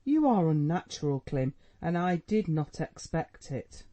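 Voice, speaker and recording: A man's voice: on the high side, very animated, measured pace, dark tone, voice pushed up. A man doing a scolding, tearful mother. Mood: pleading. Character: anxious, reproachful middle-aged mother, a woman. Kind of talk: audiobook dialogue reading.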